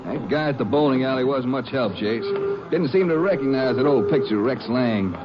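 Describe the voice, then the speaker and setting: An actor playing a folksy, easygoing American Texas Ranger, the junior partner in an old radio drama. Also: male voice, mid-range voice, lively intonation, normal speed, loud music behind the voice, natural voice. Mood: thoughtful. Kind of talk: 1950s radio drama acting. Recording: noisy, muffled old radio recording.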